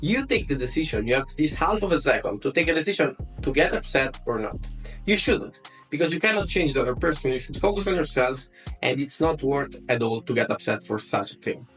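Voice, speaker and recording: A man's voice: medium pitch, animated, fairly quick, fairly bright tone, soft background music. A young man from Italy with an Italian accent, speaking English as a second language in an earnest self-help vlog, repeating words for emphasis. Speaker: self-development vlogger. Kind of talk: advice monologue to camera.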